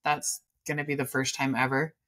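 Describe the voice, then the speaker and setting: A woman co-hosting a podcast, chatting casually in an excited, gushing manner. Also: very deep voice, brisk. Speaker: podcast co-host. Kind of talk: casual chat.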